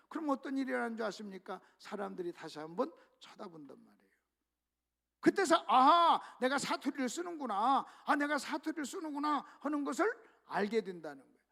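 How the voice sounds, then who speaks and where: A man's voice: very high-pitched, gravelly, a bit bright. A male pastor preaching a revival sermon emphatically, often calling out to the congregation.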